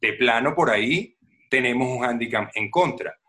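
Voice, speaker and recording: Hombre venezolano, voz brillante y enérgica. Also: male voice, medium pitch, lively intonation, normal speed, fairly bright tone. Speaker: actor guest. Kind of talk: casual podcast interview.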